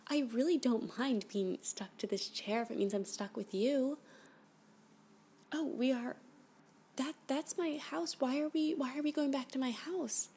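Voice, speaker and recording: A woman playing a flirty, clingy alien abductee in an ASMR roleplay, gushing and halting, often dropping to a whisper. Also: sits high, dark tone, slightly rough, heavily acted. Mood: pleading, alarmed. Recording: background noise.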